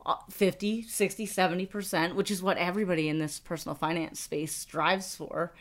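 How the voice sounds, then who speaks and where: A woman's voice: fairly low pitch, fairly even intonation, a little fast. Chatty woman podcast co-host. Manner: chatty, laughs often, many restarts. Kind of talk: casual chat.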